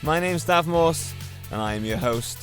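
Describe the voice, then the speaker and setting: A man's voice: fairly high pitch, expressive, a little slow, a bit bright, soft background music. A man hosting his own podcast, telling a story and encouraging listeners in an earnest, motivational monologue. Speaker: podcast host.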